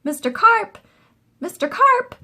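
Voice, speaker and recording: A woman's voice: very high-pitched, big pitch swings, slow pace, sharp tone, voice pushed up, heavily acted. A woman voicing a worried, eager young boy in a storybook, pleading and urgent. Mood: alarmed.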